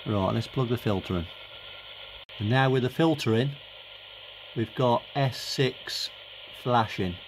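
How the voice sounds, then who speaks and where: A man's voice: medium pitch, measured pace, pauses often, poor audio. A middle-aged man thinking aloud in an informal, hesitant way as he gives a casual hands-on demonstration. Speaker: hobbyist radio video host. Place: indoors at home workbench and radio desk. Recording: clear background hiss and room noise. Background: radio static, ambient noise.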